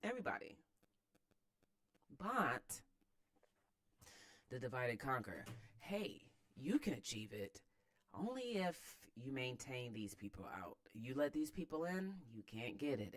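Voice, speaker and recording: A man's voice: medium pitch, sing-song, measured pace, a bit bright. An American man giving casual, opinionated commentary as host of a read-aloud, emphatic and slangy, repeating phrases for emphasis.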